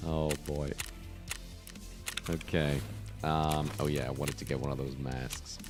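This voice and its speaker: Deep-voiced German man gaming. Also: flat delivery, slow, over music. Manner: rambling, chuckling, self-talk while playing. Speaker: gaming streamer. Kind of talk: casual let's-play commentary, reading chat.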